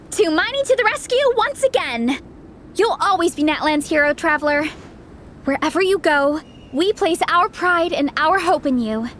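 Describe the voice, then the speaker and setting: A woman's voice: extremely high pitch, lively intonation, a little slow, a bit bright, a little hiss or hum, heavily acted. A woman voicing a bold, encouraging young teammate in a video game, earnest and rallying. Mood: cheerful, admiring. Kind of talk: scripted game dialogue.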